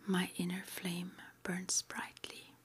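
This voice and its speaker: A woman performs whispered ASMR, reading short affirmations one by one. Her voice is extremely breathy and almost entirely unvoiced, sitting a little low. She speaks deliberately, leaving pauses between brief phrases. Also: monotone. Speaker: ASMR roleplay host.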